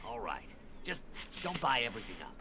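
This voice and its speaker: An actor playing a surly, grasping merchant in a video game, gruff and defensive as he haggles. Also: medium pitch, sing-song, fairly quick, pushed and bright, slight background noise. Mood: curt. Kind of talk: video game character acting.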